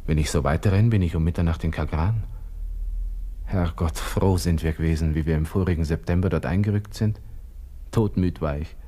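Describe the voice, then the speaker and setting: An actor playing a proud, agitated young army lieutenant with a Viennese accent, talking to himself in a radio drama. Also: male voice, low-pitched, moderate pace, mellow. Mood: rambling, resentful. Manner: brooding, talking to himself in clipped bursts. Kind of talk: radio drama interior monologue.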